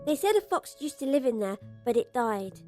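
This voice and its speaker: Small girl: very high, lively, childlike and insistent, audio drama over music. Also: moderate pace. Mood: earnest, insistent. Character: earnest, innocent little girl, daughter on a walk.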